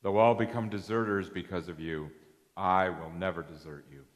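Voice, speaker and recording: A man's voice: deep voice, steady tone, normal speed, lightly acted. A man playing an earnest, loyal male disciple in a readers' theater reading of scripture. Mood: insistent, loyal. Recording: reverberant hall.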